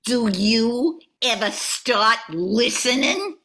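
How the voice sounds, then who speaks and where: A lovesick nymph in a radio comedy, acted by an older woman. She has a high, nasal voice and a New York accent. Her lines come slowly and full of sarcasm. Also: expressive, fairly bright tone, exaggerated voice. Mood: sarcastic, lovesick. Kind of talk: radio play acting.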